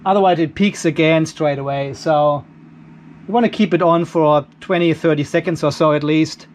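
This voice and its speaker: Middle aged guy w a pretty high voice, chatty diy demo in his garage, thinking out loud. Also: fairly even intonation, moderate pace, a little hiss or hum. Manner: informal, chatty, thinking aloud while working. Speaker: host of a DIY off-grid battery channel. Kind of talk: casual hands-on demonstration talk. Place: garage workshop.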